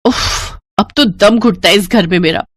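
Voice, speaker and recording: A woman's voice: medium pitch, unhurried, natural voice. A woman voicing a vain, scornful young sister in a melodramatic moral story, with a whiny, disdainful manner. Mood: haughty disgust. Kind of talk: melodramatic storybook character acting.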